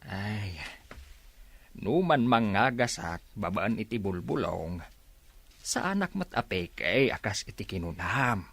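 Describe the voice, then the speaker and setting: A man with an Ilocano accent playing a humble, easily hurt older village herbal healer in a radio comedy drama, with a gravelly, husky voice. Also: lowish voice, sing-song, slow pace, a bit bright, no echo, full character voice. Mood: mild protest.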